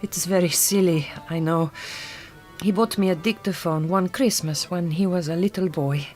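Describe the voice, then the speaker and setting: A woman's voice: lowish voice, normal speed, darkish tone, faint music behind, natural voice. Actress as proud, reserved Hungarian woman. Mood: subdued, grieving. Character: glamorous, proud, reserved Hungarian migrant woman, grieving mother. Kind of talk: radio drama acting.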